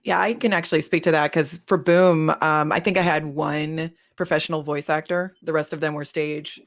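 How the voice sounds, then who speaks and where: A woman's voice: low-pitched, fairly even intonation, moderate pace. A woman talking casually as a panelist, telling stories from her own work and acting out little quotes. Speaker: panelist, audio drama creator.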